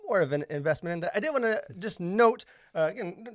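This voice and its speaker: A man's voice: high voice, animated, fast talker, no echo. Male podcast host, enthusiastic, hesitant delivery, dry close sound. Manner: enthusiastic, lots of filler hesitations. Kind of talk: casual podcast chat.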